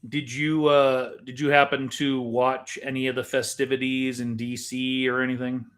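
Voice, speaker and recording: A man's voice: mid-range voice, level tone, moderate pace, fairly bright tone. Man chatting casually as podcast co-host. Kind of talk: casual chat.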